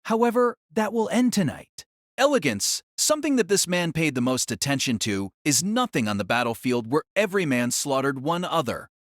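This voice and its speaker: A man's voice: fairly high pitch, very animated, normal speed, dry recording, clean studio sound. A man giving solemn, theatrical scripted narration of a fantasy novel.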